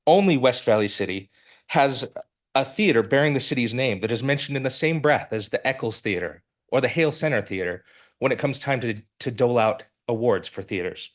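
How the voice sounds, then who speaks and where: A man's voice: medium pitch, expressive, a little fast. A man in his forties from Utah, giving a prepared public comment to a city council in an earnest, formal and persuasive way, with a few restarts, into a podium microphone in a council chamber. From Utah, United States. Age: 40s.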